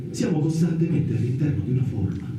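An actor playing a musing, philosophical narrator in a theatrical radio monologue, solemn and deliberate with rhetorical pauses. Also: male voice, on the high side, expressive, dark tone, a little hiss or hum, lightly acted. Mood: solemn, wondering. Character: narrator-thinker musing on the universe, then addressing Freud. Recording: distant, very echoey radio theatre with music.

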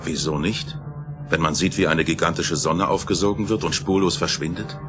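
A man's voice: low voice, steady tone, normal speed, over music, close to an everyday voice. A man playing a grave space researcher in a radio play, musing in a theatrical way.

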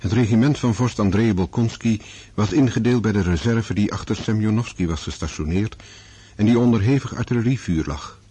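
A man narrating a war story in a radio drama, measured and sombre. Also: fairly low pitch, dark tone, faint music behind, close to an everyday voice. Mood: sober. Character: sober storyteller of a war novel.

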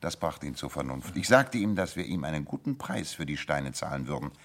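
A deep-voiced, animated master detective. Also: male voice, brisk, heavily acted. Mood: brisk, businesslike. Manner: theatrical, self-assured, amused chuckles between explanations. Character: confident, sharp-witted male detective explaining his deductions. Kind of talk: radio play acting.

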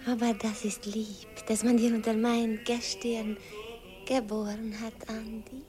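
An actress playing a sweet, naive young country girl with a Hungarian accent and halting, artless speech in a 1950s radio play. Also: a little high, little pitch movement, over music, natural voice. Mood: tender, dreamy. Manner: halting, artless broken German.

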